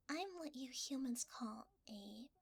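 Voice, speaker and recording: A woman voicing a sweet, nervous blanket mimic in soft, breathy, stammering ASMR. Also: sits high, full character voice. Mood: sheepish. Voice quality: soft, breathy, often whispered. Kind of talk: whispery ASMR roleplay.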